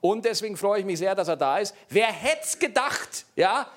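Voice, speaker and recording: Excitable high-voiced male comedy host. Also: moderate pace. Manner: joking, hypes up the guest. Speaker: show host. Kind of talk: introducing a guest in a comedy show.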